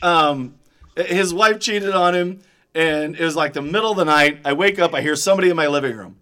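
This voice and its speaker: A man's voice: a little high, brisk, sharp tone, smooth. A middle-aged man chatting casually and comically, with a theatrical way of putting on voices. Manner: theatrical, bursts into song and put-on voices.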